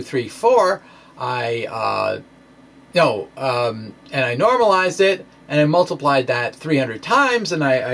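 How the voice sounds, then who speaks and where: Male presenter, slightly high voice, unhurried halting delivery, conversational science explainer. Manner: halting, conversational, thinking aloud. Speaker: presenter of a physics blog. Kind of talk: informal explanatory lecture, dramatic reading of a blog post.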